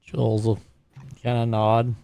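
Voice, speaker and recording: A man's voice: lowish voice, monotone, measured pace, mellow. A man playing a tabletop roleplaying game, casually narrating his character's actions and lines.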